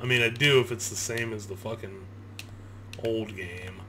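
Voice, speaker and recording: A man's voice: fairly low pitch, steady tone, moderate pace, sharp tone. A thirty-year-old man giving casual gameplay commentary, swearing, griping and poking fun at himself. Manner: sweary, griping, self-deprecating. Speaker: gamer, video host. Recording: clear game ambience noise behind. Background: horror video game sound.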